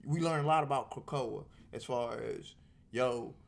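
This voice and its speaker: A man in a casual two-person review chat, speaking at a middling pitch. He is excitable and exclaims freely. He uses slang and repeats phrases to drive a point home. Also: measured pace, creaky. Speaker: comic book review co-host.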